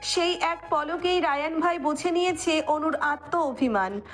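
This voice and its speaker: A woman's voice: high voice, measured pace, a bit bright. A woman narrator reading a scripted romantic story. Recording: music bed under the voice.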